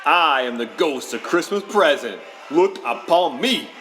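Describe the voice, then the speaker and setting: A man's voice: fairly high pitch, lively intonation, unhurried, pushed and bright, faint music behind. A man voicing a jovial, boastful giant Christmas ghost who declaims and slips into slang, in a storybook drama. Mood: boastful, commanding. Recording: long hall reverb.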